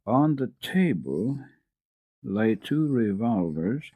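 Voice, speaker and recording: A man's voice: lowish voice, sing-song, slow pace, dark tone, dry recording. A man reading a classic children's story dramatically, as a radio storyteller. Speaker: storyteller, radio program host.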